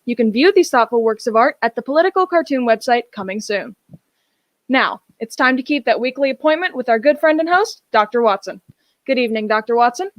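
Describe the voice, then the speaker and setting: High-voiced young woman radio announcer. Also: expressive, fairly quick, dry recording. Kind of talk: scripted old-time radio announcing.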